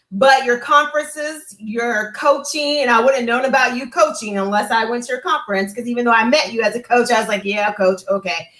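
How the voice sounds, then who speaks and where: Woman, fairly high voice, heartfelt casual testimony, effusive, roomy echo. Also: sharp tone. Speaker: guest giving a testimonial. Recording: roomy, echoey sound.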